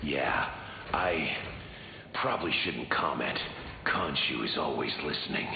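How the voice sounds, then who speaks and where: An actor playing a troubled, guarded avatar of an ancient god in a video game, terse, dry and wary. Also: male voice, rumbling low, measured pace, creaky, reverberant, in a large hall, lo-fi sound, background noise, heavily acted. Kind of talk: video game hero banter.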